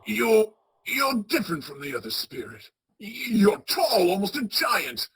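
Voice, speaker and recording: A woman playing Scrooge, a shaken old male miser, in a radio play, with a husky voice. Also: medium pitch, big pitch swings, measured pace, fairly bright tone, full character voice. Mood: awed, humbled.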